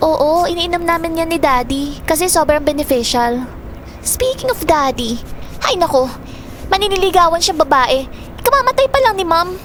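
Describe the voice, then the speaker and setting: A woman's voice: very high-pitched, animated, normal speed, fairly bright tone, slight background noise. A woman playing an angry, grieving young daughter in an audio drama, confrontational and accusing. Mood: bitter venting. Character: angry, grieving young daughter resenting her father's girlfriend.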